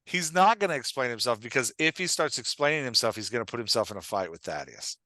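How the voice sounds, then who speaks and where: A man hosting and coaching in an animated, conversational way, emphatic and often checking that he is being understood. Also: medium pitch, fast, no room sound. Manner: acts out short example lines of dialogue. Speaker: podcast host and writing coach.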